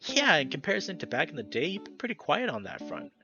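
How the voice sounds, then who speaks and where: A man voicing a gentle, apologetic male creature friend with big ears in a visual novel, with guitar music behind him. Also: on the high side, huge pitch swings, quick pace, pitched above the performer's own voice. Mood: teasing. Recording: dull lo-fi audio with guitar music.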